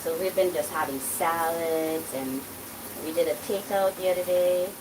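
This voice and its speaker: A woman's voice: on the low side, normal speed, distant mic. Chatty Trinidadian woman cooking at home. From Dominica, raised in Trinidad and Tobago. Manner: chatty, rambling, talks while cooking. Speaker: cooking channel host. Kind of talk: casual cooking vlog chat. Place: home kitchen. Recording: loud kitchen noise, voice off-mic. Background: sizzling food frying.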